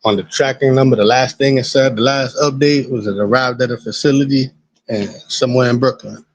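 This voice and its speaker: A man with a raspy smoker's voice, rambling casually and profanely to his live-stream chat while high. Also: fairly even intonation, fairly quick, no room sound. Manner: laid-back, rambling, profane. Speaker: livestreamer. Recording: dull lo-fi stream audio.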